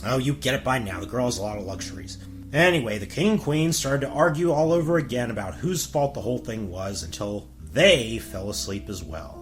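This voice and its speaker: Animated, tongue-in-cheek male storyteller. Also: medium pitch, a little fast, soft background music. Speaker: narrator. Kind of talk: wry scripted comic fairy-tale narration.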